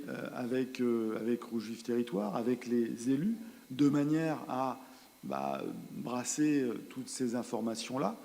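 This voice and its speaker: A man presents findings to a meeting in a mellow, soft-edged voice of medium pitch. His delivery is halting, often repeating small words as he explains. The sound carries the long reverb of a large hall. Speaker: presenter of a territorial project at a council meeting. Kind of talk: spoken presentation of survey results and strategy, partly improvised.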